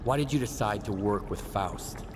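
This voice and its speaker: A man's voice: on the low side, normal speed, background noise, natural voice. An actor playing a hard, distrustful male wanderer in a video game, speaking in blunt, terse questions and orders. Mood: probing. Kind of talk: video game cutscene acting.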